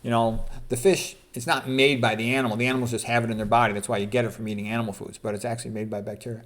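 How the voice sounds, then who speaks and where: A man's voice: mid-range voice, little pitch movement, racing, fairly bright tone. Emphatic man giving an informal health talk. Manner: emphatic, opinionated, repeats points for stress. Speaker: physician presenter. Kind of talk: informal health lecture, reading quotes aloud with commentary.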